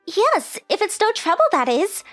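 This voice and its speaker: A woman's voice: very high-pitched, very animated, normal speed, a bit bright, no echo, soft background music, full character voice. A woman voicing a polite, shy teenage rich girl in an anime-style dub, with very formal, hesitant phrasing. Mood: hopeful.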